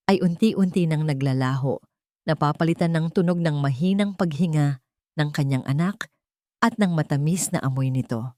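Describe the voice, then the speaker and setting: Female narrator for romantic audio drama: slightly low pitch, dry close sound, measured dramatic delivery. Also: a little mellow, no echo. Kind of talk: scripted dramatic story narration.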